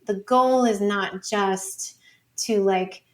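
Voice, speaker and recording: A woman's voice: medium pitch, takes it slowly. A woman researcher speaking as an interview guest, informal and conversational, sprinkling her points with "like" and "you know". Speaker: academic researcher, podcast guest.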